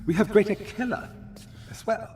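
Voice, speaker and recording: A man's voice: high voice, normal speed, a little mellow, a little hiss or hum, natural voice. An actor playing a nervous, self-serving family man turned informant in a spy game, with an anxious way of talking.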